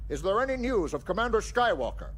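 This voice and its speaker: A high, swooping voice for a grave alien fleet admiral in a space audio drama. He speaks formally and with weight, over faint background noise. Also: big pitch swings, fast, fairly bright tone. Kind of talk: sci-fi audio drama acting.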